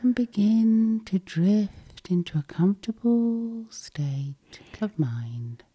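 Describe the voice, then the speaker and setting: A woman gently guiding a sleep hypnosis session with breathy, whispery, calming ASMR delivery. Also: medium pitch, slow pace.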